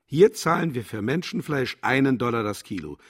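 Husky, pompous, cynical official. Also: male voice, medium pitch, lively intonation, normal speed. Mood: coldly arguing. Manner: pompous, lecturing, emphatic. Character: cynical, condescending male defender of killing for profit. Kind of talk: theatrical German radio drama acting.

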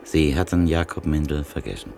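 A man's voice: extremely low pitch, brisk, vocal fry, mellow, a little hiss or hum. An actor playing an earnest, philosophical man who questions a writer in a radio play.

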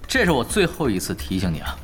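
A man's voice: mid-range voice, wildly swooping pitch, quick pace, faint music behind. A man playing a laid-back, shrewd gamer in an audio drama, with a teasing, matter-of-fact manner. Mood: cool teasing. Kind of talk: audio drama acting in dialogue.

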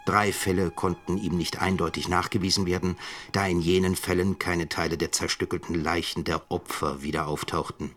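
Casting: solemn scholar-chronicler in radio drama, very low voice, literary, faint music underneath. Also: male voice, little pitch movement, moderate pace, fairly bright tone. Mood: grave narration. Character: grave, defiant male professor chronicling a trial.

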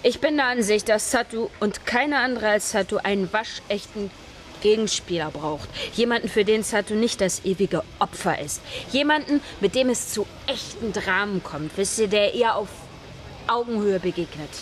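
A young woman writer in a radio play, played by an actress lays out her idea earnestly, picking up enthusiasm. Her voice sits at an ordinary middle pitch. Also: expressive, moderate pace, a little hiss or hum, natural voice.

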